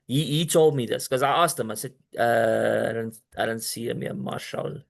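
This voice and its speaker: A man's voice: medium pitch, steady tone, normal speed, vocal fry. Male host on a video call, casual and rambling, many restarts. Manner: rambling, restarts often, talks straight to the listener. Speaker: company presenter hosting a video call.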